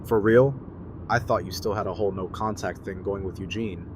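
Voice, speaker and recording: An easygoing buddy in an audio drama, played by a man, speaks in a slightly low voice. He is laid-back and friendly, chatting in loose slang over faint vehicle noise. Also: steady tone, brisk, lightly acted. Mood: surprised, curious. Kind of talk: fan audio drama acting, casual dialogue.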